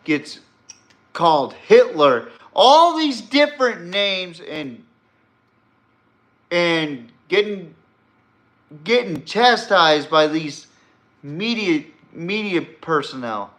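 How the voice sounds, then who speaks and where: Bright-voiced, slow-paced male podcast host. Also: sits high, big pitch swings. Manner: rambling, blunt, profane, emphatic. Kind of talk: casual opinionated sports and politics commentary.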